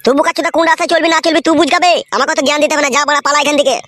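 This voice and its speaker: Man doing a rapid-fire, high-pitched hot-headed cartoon guy. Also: fairly even intonation, bright, forceful tone, no echo, studio quality. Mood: angry. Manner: foul-mouthed, belligerent. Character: stubborn, lovesick, hot-headed married young man. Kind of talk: crude comedy cartoon dialogue.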